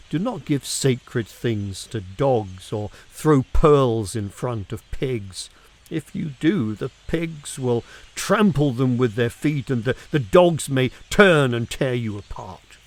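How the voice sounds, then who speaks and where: A man reads scripture aloud in a measured, deliberate way, using pauses and emphasis for dramatic effect. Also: fairly high pitch. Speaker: reader of scripture.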